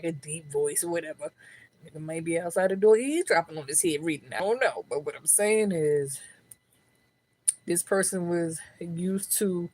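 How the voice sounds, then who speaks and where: Slangy, profane woman reading tarot. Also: a little mellow. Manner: slangy, profane, keeps asking "you know what I'm saying"; lowers her voice to keep it down. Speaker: tarot reader. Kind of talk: live tarot reading, casual chat. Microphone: own microphone, close up.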